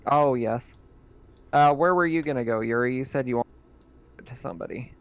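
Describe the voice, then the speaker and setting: A man running a tabletop game as game master, easygoing and quick to laugh. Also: mid-range voice, clear, resonant tone. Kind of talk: casual tabletop game chat.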